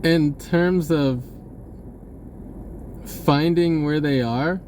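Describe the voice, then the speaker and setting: A man's voice: a little high, drawn-out words, a little mellow, slight background noise. A man giving a rambling, spontaneous message in an informal, hesitant way, often rephrasing as he goes. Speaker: online video creator.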